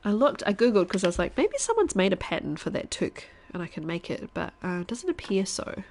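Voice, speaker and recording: A congested woman streamer talks through her game in a loose, chatty way, thinking aloud and sometimes slipping into a soft whisper. Her voice sits at a middle pitch with a gravelly, creaky edge, and her nose sounds blocked. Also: big pitch swings, fairly quick. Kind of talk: casual live-stream chat while gaming.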